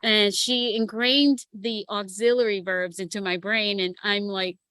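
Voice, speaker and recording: A woman in her fifties, a matchmaker and coach, telling her life story in a relaxed interview with frequent laughs. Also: mid-range voice, measured pace, clean tone, no room sound. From United States. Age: 50s. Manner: lively storyteller who laughs often. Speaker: guest, matchmaker and relationship coach. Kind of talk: casual interview chat.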